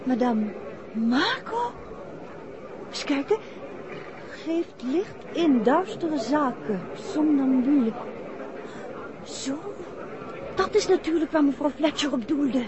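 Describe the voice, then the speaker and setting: High-voiced detective's wife. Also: female voice, lively intonation, takes it slowly, pauses often. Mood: curious. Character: detective's wife, inquisitive, affectionate, mildly complaining. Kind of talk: 1960s Dutch radio drama acting. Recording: dull old radio recording, crowd noise.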